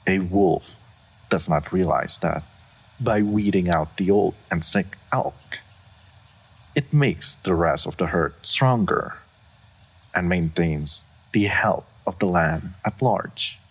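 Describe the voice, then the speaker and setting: Man voicing a very deep, gravelly ancient dragon. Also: full character voice. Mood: calm, reflective. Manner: formal, deliberate, stoic. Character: ancient aloof male dragon, wise, weary, reserved. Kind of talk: roleplay audio monologue, measured fantasy acting.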